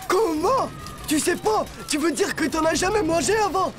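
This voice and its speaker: A woman's voice: very high voice, expressive, normal speed. A woman voicing an eager, chatty, bossy schoolboy in a French cartoon, full of enthusiasm. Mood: amazed. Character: eager, chatty schoolboy, self-appointed manager and food lover. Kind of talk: French animated cartoon acting. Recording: music behind the voice.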